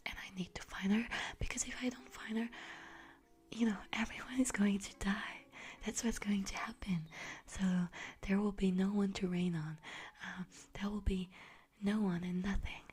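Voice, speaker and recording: A woman's voice: fairly low pitch, close to an everyday voice. Woman playing an anxious whispering harvest goddess. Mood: anxious, insistent. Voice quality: breathy, whispered. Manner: halting, rambling, repeats herself. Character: anxious, scatterbrained Greek harvest goddess, mother seeking her daughter. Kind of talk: ASMR roleplay monologue.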